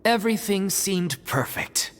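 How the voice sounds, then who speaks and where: A man's voice: high-pitched, lively intonation, a little slow, fairly bright tone. A man voicing an earnest young stage actor in a video game, explaining things expressively. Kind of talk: video game character dialogue. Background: background music.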